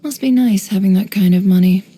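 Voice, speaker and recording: An actress playing a shrewd, worldly woman who runs an intelligence business in a video game, speaking in a composed, wry, measured way. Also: medium pitch, warm tone, breathy edge, natural voice. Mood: dry, businesslike. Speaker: video game character voice actor. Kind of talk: scripted game character voice lines, monologue.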